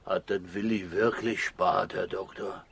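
An actor playing a gruff, sceptical farmer with a husky, gravelly voice in a children's radio play. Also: male voice, low voice, fairly even intonation, a little slow, a bit bright, heavily acted. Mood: doubtful.

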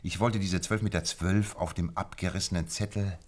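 A male actor playing a shrewd, self-assured gentleman detective in a German radio drama, setting things out eloquently and methodically. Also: low voice, steady tone, normal speed. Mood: confident explaining. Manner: eloquent, methodical explaining with theatrical emphasis.

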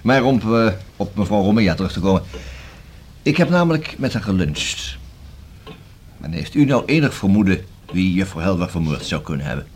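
A male actor in a Dutch radio play as a probing, self-assured police inspector who questions deliberately and dryly, with a husky voice. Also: low voice, lively intonation, darkish tone, a little hiss or hum. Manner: deliberate, rhetorical questioning with emphatic repeats.

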